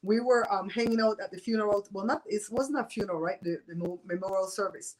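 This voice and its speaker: A woman's voice: medium pitch, quick pace, a little mellow, poor audio. A woman in her fifties from Belize with a Belizean Creole accent, hosting a live show and chatting bluntly and gossipily with viewers, laughing often. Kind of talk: casual chat with live viewers.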